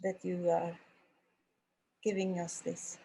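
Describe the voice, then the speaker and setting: A woman's voice: lowish voice, little pitch movement, takes it slowly, creaky, warm tone, husky. A woman with a Norwegian accent shares a reflection on a group video call, speaking hesitantly and searching for her words in English. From Norway.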